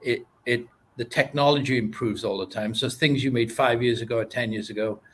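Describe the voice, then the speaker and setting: A man with a Welsh accent, guesting on an interview show as an animation producer. He speaks at a middling pitch and hesitates often, filling pauses with uh and um. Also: husky. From Wales. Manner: hesitant, lots of uh and um.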